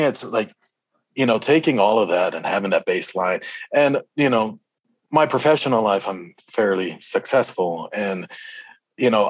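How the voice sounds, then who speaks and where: A man giving casual, reflective interview answers as a musician, in a halting, rambling way. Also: low voice, no room sound.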